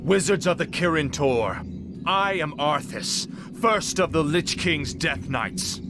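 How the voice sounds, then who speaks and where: An actor playing a cold, arrogant fallen prince turned undead death knight, menacing and formal, in a fantasy game cutscene. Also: male voice, fairly high pitch, lively intonation, takes it slowly, over music. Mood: imperious, threatening.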